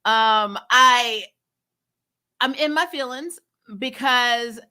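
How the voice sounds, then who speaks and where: Woman, middle-aged, higher-pitched, sharp bright tone, exasperated, animated, opinionated casual reviewer. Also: extremely slow, clean tone. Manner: exasperated, animated venting with mimicked quotes. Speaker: host of a fan TV review channel. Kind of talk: casual, opinionated episode recap.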